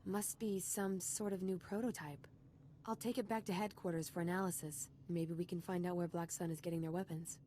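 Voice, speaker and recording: A woman's voice: on the low side, fairly even intonation, brisk, mellow, a little hiss or hum, lightly acted. An actress playing a wry, confident female army sergeant in a video game, upbeat and a little flirty. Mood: brisk, friendly.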